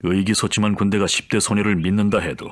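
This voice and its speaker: Man playing deep-voiced weary soldier diarist. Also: fairly even intonation, natural voice. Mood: grave. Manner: grave, measured storytelling. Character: weary, grave French soldier reading his war diary. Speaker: campaign narrator. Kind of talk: scripted diary narration for a game.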